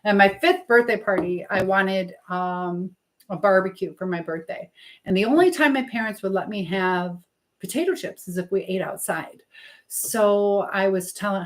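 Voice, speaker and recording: A woman's voice: mid-range voice, moderate pace. A woman hosting a casual live stream while she cooks, chatting informally to viewers and reading out their comments. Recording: clear background noise and clicks.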